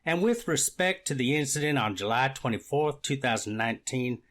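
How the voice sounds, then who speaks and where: A man plays a male prosecutor in a readers theater hearing. His voice sits at a middle pitch, and he recites in a dry, formal, methodical way. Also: animated, moderate pace, a bit bright, close to an everyday voice. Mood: formal, factual. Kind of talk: readers theater courtroom reenactment.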